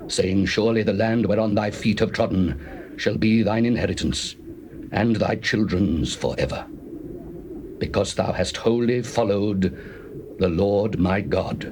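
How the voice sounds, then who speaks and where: A man playing an eighty-five-year-old Israelite warrior, steadfast and devout, in a solemn, declamatory scripture drama. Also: fairly low pitch. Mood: solemn, earnest. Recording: noisy old cassette tape recording.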